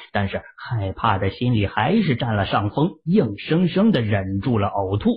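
A male audiobook narrator with a husky, breathy voice who tells the story with lively, rising and falling intonation. The sound is tinny and narrow, like it comes over a phone line. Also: on the low side. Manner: expressive, dramatic pacing.

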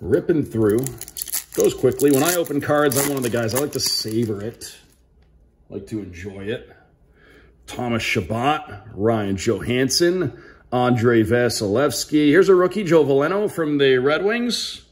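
An American man with a mid-pitched voice gives casual commentary while unboxing. He sounds like a sports broadcaster, calling out names crisply in a play-by-play manner. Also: lively intonation, a little slow. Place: indoors at a tabletop, small room.

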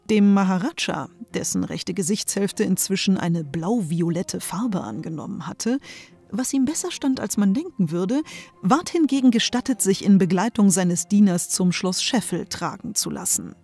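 Female storybook narrator: medium-pitched voice, scripted tale, music underneath. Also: darkish tone.

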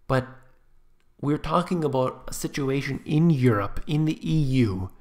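A man giving emphatic, scornful commentary on finance charts in a screen-share voice-over. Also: medium pitch. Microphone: voice-over on screen recording.

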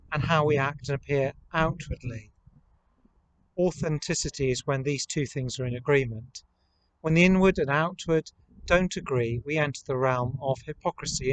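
A man, preaching a measured, earnest, reflective sermon to camera as a priest. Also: on the high side, poor audio. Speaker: priest leading online worship. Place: outdoors in a rural churchyard. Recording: outdoor camera recording with ambient noise.

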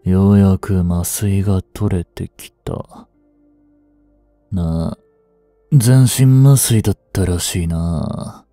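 An actor playing a rough, cocky mafia boss with hidden tenderness in an intimate audio drama, gruff and teasing in a drawl. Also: male voice, low voice, slow, dark tone, no echo. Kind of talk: intimate Japanese-style dramatic voice acting aimed at women listeners. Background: music.

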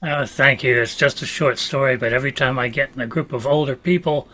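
A man in his seventies telling a short funny anecdote on a video call, slowing down for the punchline. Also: mid-range voice, sharp tone. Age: 70s. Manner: storyteller, slows for effect. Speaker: club member telling a story. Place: home room.

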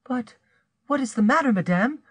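A man's voice: very high-pitched, animated, moderate pace, fairly bright tone, breathy edge, no room sound, studio quality, voice pushed up, full character voice. A bewildered wife in a storybook drama put on by a male narrator. She speaks in a raised, swooping voice, full of dismayed questions and wounded jealousy. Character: bewildered, jealous, betrayed pious young wife.

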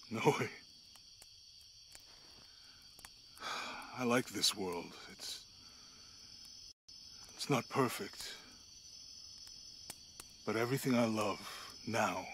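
Low, subdued, grieving companion. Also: male voice, a bit bright, a little hiss or hum. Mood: tender, thoughtful. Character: gentle, guilt-ridden man, loyal companion. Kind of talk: intimate video game cutscene dialogue.

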